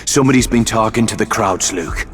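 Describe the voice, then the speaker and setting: An actor playing a hot-headed Irish saboteur in a video game, blunt and stubborn, with a gravelly Irish voice. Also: male voice, on the low side, a little fast, natural voice. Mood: grave, determined. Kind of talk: dramatic video game acting.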